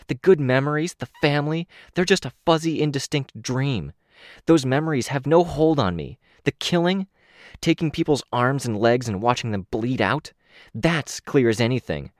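A man's voice: mid-range voice, no room sound, clean studio sound, pitched above the performer's own voice, lightly acted. A man reading an audiobook, voicing a cold, menacing young woman who used to kill people, with a hard, clipped voice.

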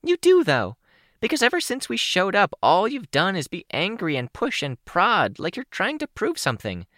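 A chirpy, talkative young teen girl spills her words out in quick rushing bursts. Her voice leaps high and moves a lot. The audiobook sound is dry and close. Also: wildly swooping pitch, a bit bright, no room sound. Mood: angry. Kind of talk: audiobook character voice.

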